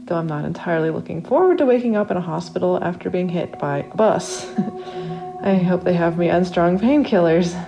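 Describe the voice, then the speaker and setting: A woman's voice: fairly low pitch, a little fast, vocal fry, low-quality recording. A woman reading a first-person horror story as a trapped accident victim, in a dramatic, confiding inner monologue. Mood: wry, musing. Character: trapped narrator waking after accident, wry then panicked. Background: original music.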